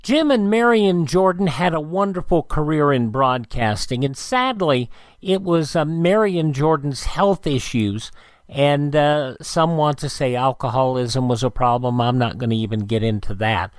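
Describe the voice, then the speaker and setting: A man's voice: a little high, a little slow, no room sound. A man presenting a radio show in a folksy, rambling, conversational way from a home studio.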